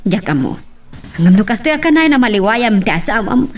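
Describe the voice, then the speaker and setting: A woman's voice: fairly high pitch, sing-song, a little fast, soft background music. A woman playing a fussing, scolding middle-aged mother in an Ilocano radio drama.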